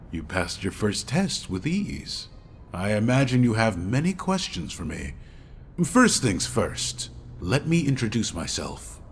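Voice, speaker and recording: An actor playing an earnest, mysterious member of a secret order in a game cutscene, speaking in a measured, formal way. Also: male voice, mid-range voice, slight background noise. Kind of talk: video game cutscene acting.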